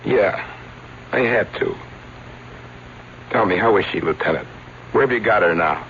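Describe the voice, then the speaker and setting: A low-voiced, animated showman publicity agent. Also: unhurried. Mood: regretful. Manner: colloquial, dramatic. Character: showman publicity agent, wry, regretful, confessing to a detective. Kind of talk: old-time radio drama acting. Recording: muffled vintage radio recording, faint hiss.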